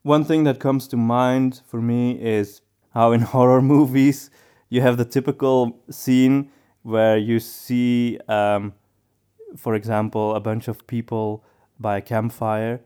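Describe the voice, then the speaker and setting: A man's voice: medium pitch, level tone, slow pace, mellow, smooth. A man co-hosting a podcast, casually discussing film in a reflective, musing way, sometimes repeating words as he thinks. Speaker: podcast co-host.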